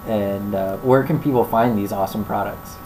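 A woman's voice: extremely low pitch, normal speed, warm tone, faint music behind, low-quality recording. A woman from Florida hosting a casual interview, easygoing and enthusiastic, with slangy talk. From Florida, USA. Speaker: interviewer, host.

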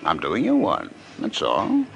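An actor playing a slick, brazen fight promoter in an old radio drama, smooth-talking and insistent, with a noisy recording. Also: male voice, deep voice, huge pitch swings, unhurried, fairly bright tone, dull audio, exaggerated voice. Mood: pushy, confident. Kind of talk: 1950s radio detective drama acting.

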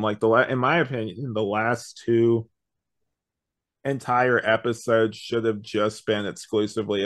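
A man co-hosting a podcast, chatting casually in a rambling, opinionated way and often quoting his own thoughts. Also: fairly low pitch. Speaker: podcast co-host reviewing an audio drama. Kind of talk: casual chat.

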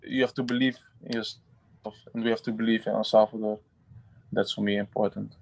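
Guy footballer, halting answers, monotone, dry close sound. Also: fairly low pitch, vocal fry, no echo, poor audio. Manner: halting, restarts often, simple phrasing. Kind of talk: interview answers.

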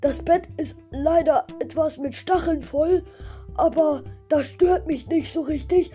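Woman doing a little cactus, silly, giggly, high voice, slow talker, faint music behind. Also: mellow. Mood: goofy. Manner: giggles a lot, hesitant, drawn-out filler sounds. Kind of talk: podcast interview guest, playful improvisation.

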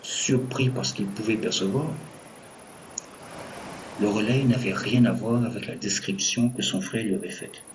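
A man narrating a scripted audio drama as a storyteller, in measured short phrases. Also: on the low side, a little hiss or hum, low-quality recording. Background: music.

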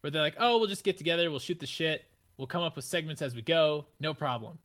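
A man from the Midwest hosting a casual podcast, with a nasal voice, rambling and excitable, rising high when he gets worked up. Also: quick pace. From American Midwest. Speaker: podcast host.

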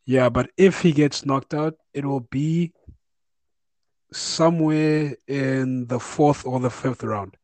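A relaxed man with a mid-range voice, chatting loosely as co-host of a fight podcast. He talks on a remote call in a dry, close-sounding space, often repeating himself and tossing in "bro" and "man". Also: mid-range voice, slow, dry recording. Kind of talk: casual MMA podcast chat. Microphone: remote call over Wi-Fi.

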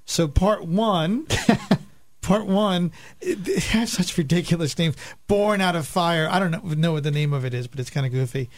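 A man's voice: fairly high pitch, normal speed. A man chatting casually as a podcast panelist, wry and teasing, with blunt criticism. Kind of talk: casual chat.

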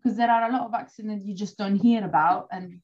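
A woman with a Swiss Italian accent explains her views as a guest, thinking aloud with a few fillers. Her voice sits in a middle pitch. The audio is somewhat dull and lo-fi. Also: steady tone, fast talker, darkish tone. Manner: thoughtful, explains at length with fillers. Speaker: dog behaviour guest. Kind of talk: casual interview chat.

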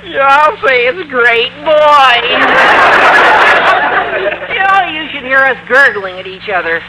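An actor playing an excitable, scheming high school boy with a squawky adolescent voice in an old-time radio comedy. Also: slow pace, a loud crowd, full character voice. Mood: gleeful, gushing. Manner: gushing, stammering, eager. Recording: faintly noisy vintage radio recording.

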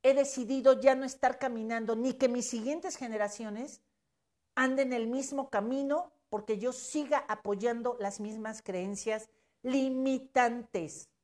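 A woman's voice: fairly high pitch, steady tone, measured pace, a little mellow. A Mexican woman in her fifties giving an emphatic, preachy motivational talk on social media, with casual swearing. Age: 50s.

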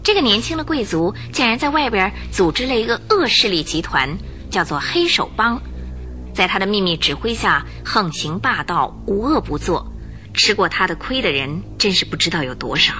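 A woman tells a radio detective story in a bright, forceful voice. Her pitch swings widely as she dramatizes the plot, and faint music sits underneath her. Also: expressive, moderate pace. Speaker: narrator. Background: music bed.

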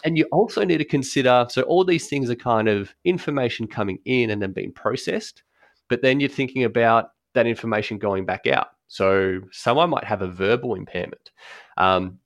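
A man explaining accessible design in a relaxed podcast conversation, thoughtful, with frequent ums and restarts. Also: medium pitch, normal speed, no room sound, studio quality. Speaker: guest expert on accessible design.

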